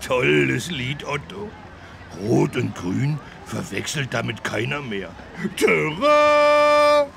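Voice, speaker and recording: An actor playing a big, good-natured talking elephant with a gravelly, childlike, jolly way of speaking. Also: male voice, mid-range voice, big pitch swings, slow, background noise, full character voice. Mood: cheerful.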